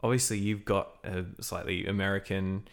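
A man hosting a podcast interview, asking questions in a halting way with many ums and restarts. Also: low-pitched, steady tone, gravelly. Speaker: podcast host.

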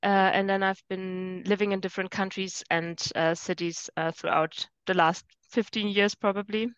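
A woman being interviewed over a video call, speaking thoughtfully with hesitant pauses and fillers. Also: mid-range voice, flat delivery, fairly bright tone, smooth, no room sound. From Germany. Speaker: guest. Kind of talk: casual interview chat. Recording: muffled dry video call.

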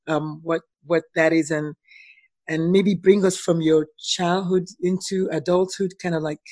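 A woman's voice: lowish voice, a little mellow, no echo. A woman hosting a podcast, speaking in an encouraging, affirming way. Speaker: podcast host. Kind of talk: casual interview.

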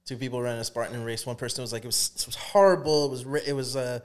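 A man co-hosting a podcast, talking in a relaxed, reflective way and laughing easily. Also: mid-range voice, very fast, clear, resonant tone. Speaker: podcast co-host. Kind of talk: casual podcast chat.

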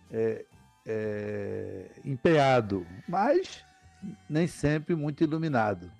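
A man's voice: on the high side, a little mellow. Chatty older man hosting a podcast. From Paraíba, Brazil. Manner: chatty, rambling with restarts. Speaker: podcast host. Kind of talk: casual interview chat.

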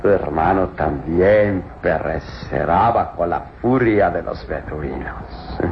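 A man's voice: low-pitched, slow pace. A man acting a cruel, gloating murderous villain in an old Latin American radio serial, theatrical, menacing and sneering. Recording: muffled, noisy old radio drama, roomy echo.

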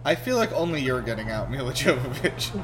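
Wry man with animated delivery. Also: mid-range voice, fairly quick. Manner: wry, mocking. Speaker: reaction show host. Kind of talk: casual joking film reaction commentary. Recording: film soundtrack music behind. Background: film being watched, music.

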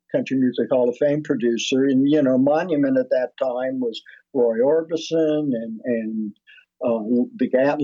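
Older man, mellow rambling storyteller. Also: medium pitch, no echo, highs cut off. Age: 70s. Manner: rambling, many restarts and fillers. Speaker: guest musician and producer. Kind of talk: casual interview storytelling.

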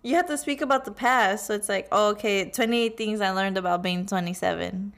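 A woman co-hosts a casual podcast, teasing her friend and handing out easygoing advice. She talks in a chatty, friendly way. Her voice sits in a middle pitch for a woman. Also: a little fast, fairly bright tone. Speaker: podcast co-host. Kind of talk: casual chat.

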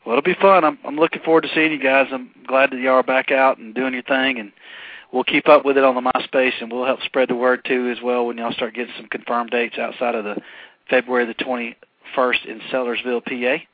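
A man's voice: medium pitch, flat delivery, fairly quick, dry recording. A man hosting a radio show, chatty and upbeat in a casual interview. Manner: upbeat, chatty, laughs easily. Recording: dry, tinny phone-like sound.

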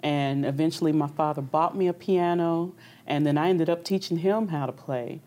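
A young woman from Kentucky, an opera singer being interviewed, talking in a relaxed, reflective way with easy laughs. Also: sits low, normal speed. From Kentucky, United States. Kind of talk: conversational interview.

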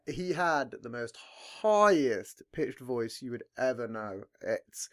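A man with a deep, heavy voice hosting a podcast, chatting in a laid-back, rambling way and swearing casually. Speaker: podcast host. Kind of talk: casual chat.

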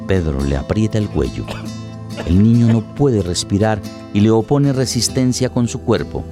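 A man's voice: on the low side, lively intonation, moderate pace. A man giving a dramatic scripted narration of a radio play. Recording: plucked guitar music under voice.

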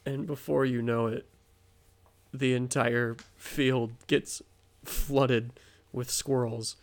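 A young American man with a noticeably creaky, gravelly voice at an average pitch. He co-hosts a podcast, spinning improvised comic stories casually, with a halting and giggly delivery. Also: flat delivery. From American Midwest. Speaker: podcast co-host. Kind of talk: casual comedic improvised storytelling.

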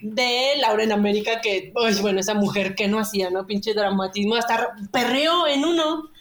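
A woman's voice: mid-range voice, normal speed, reverberant. A woman with a Mexican accent co-hosting a podcast, playful, casual and laughing a lot, with easy swearing. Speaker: podcast co-host. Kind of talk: casual chat.

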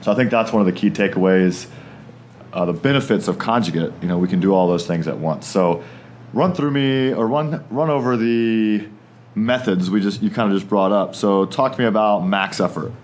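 A man's voice: on the low side, normal speed, darkish tone. A man hosts a casual fitness podcast and keeps the talk upbeat and friendly. A faint hiss of noise sits under his voice. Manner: upbeat, friendly, laughs easily. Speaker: podcast host.

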